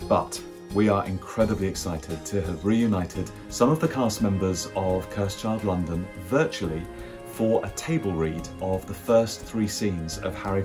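A man in his forties, an actor presenting a scripted piece to camera from his living room. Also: fairly low pitch. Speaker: actor presenting a theatre video. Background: music.

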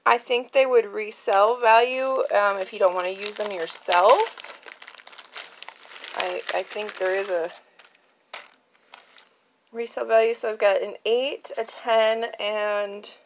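A woman's voice: medium pitch, fairly even intonation, slow pace, pauses often, slight background noise. A woman hosting a casual, chatty show-and-tell in an informal, tentative way, thinking aloud as she goes. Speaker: craft channel host previewing auction items. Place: indoors at home, at a card table. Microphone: handheld phone.

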